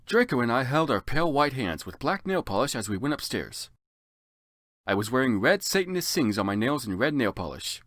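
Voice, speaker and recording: A man's voice: mid-range voice, big pitch swings, brisk, a bit bright, no echo. A man narrating fan fiction as a comic dramatic reading, in a theatrical, exaggerated manner.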